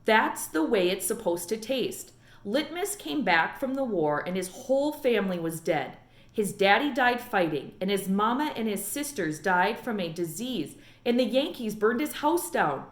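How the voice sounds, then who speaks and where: A woman's voice: medium pitch, big pitch swings, moderate pace, echoey room, close to an everyday voice. A woman voicing an earnest, curious and stubborn young girl in a storybook read-aloud. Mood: earnest explaining.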